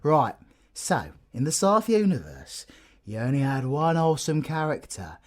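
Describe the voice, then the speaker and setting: A man's voice: fairly high pitch, very animated, unhurried, a little mellow, pitched above the performer's own voice. A British man voicing a flirty, egotistical, caffeine-wired supervillain in an audio roleplay, boastful and cheeky. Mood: cheeky, persuading. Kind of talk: audio roleplay character acting.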